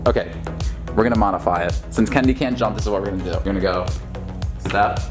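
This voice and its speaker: A man's voice: on the low side, fast. Male vlogger, twenties, American, casual and pushy coaching delivery, music underneath. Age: 20s. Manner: teasing, pushy encouragement. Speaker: vlog host, brother coaching his sister. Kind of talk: casual vlog chat and coaching.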